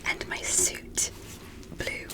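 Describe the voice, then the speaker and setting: A woman voicing a gentle, curious alien doctor in an ASMR medical roleplay, with a breathy whisper and careful, encouraging, formal clinical phrasing. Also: very slow. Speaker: ASMR performer.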